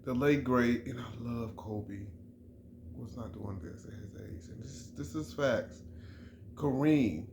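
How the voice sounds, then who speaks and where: Emphatic middle-aged man, slow and repetitive. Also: medium pitch, creaky, a little mellow, a little hiss or hum. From Chicago, USA. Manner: emphatic and repetitive, restarting phrases. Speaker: vlogger. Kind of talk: casual sports opinion monologue.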